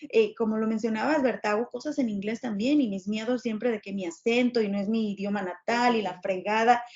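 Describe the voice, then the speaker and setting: A woman's voice: fairly high pitch, brisk, darkish tone. A Mexican woman in her forties, a podcast guest telling her story earnestly and with emphasis. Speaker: guest, journalist and businesswoman. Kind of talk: podcast interview conversation. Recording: dull lo-fi sound.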